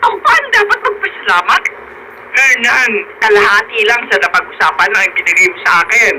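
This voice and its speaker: Brief: aggrieved Filipino accomplice in radio drama, very high sharp voice, emphatic delivery. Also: male voice, sing-song, brisk, full character voice. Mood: bitter, demanding. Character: aggrieved accomplice demanding a share, then confessing. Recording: noisy radio drama broadcast.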